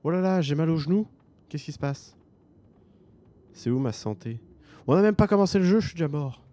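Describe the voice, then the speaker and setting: A man's voice: fairly high pitch, animated, brisk, warm tone. A man with a French accent commenting a game he plays, joking and thinking aloud. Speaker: gaming streamer. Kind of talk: let's play commentary.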